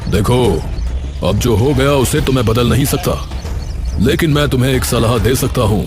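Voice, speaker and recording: A man voicing a hungry magical talking wolf, menacing yet capable of pity, in an animated moral story, speaking in a commanding, deliberate way. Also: medium pitch, music in the background, lightly acted. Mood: concerned, advising.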